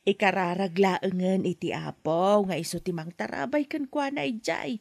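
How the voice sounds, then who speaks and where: A woman's voice: mid-range voice, moderate pace, gravelly, darkish tone, no room sound. A woman playing a mother whose daughter works abroad in a radio drama, speaking in a scolding, defensive way. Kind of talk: Ilocano radio drama acting.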